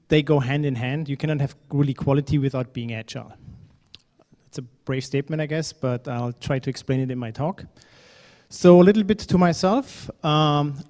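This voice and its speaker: A man from Germany gives a talk at a conference, his voice a touch higher than most men's. He speaks in a relaxed, conversational way, full of ums and restarts. His voice rings with the long reverb of a large hall. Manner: conversational, fillers, occasional non-native phrasing.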